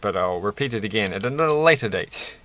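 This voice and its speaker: A middle-aged man with a croaky voice, chatting casually and haltingly through a hobby build, often searching for words. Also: fairly low pitch, lively intonation, a bit bright. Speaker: Lego build video creator. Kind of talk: casual hobby vlog, unscripted commentary while building. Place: indoors at a desk.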